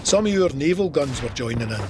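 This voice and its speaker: An actor playing a soldier, recounting battle as a first-person memoir in an audio drama. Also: male voice, medium pitch, expressive, brisk, loud music behind the voice, lightly acted. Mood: intense, raised. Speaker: narrator and lead character. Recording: battle noise of gunfire and artillery. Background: artillery fire and gunshots.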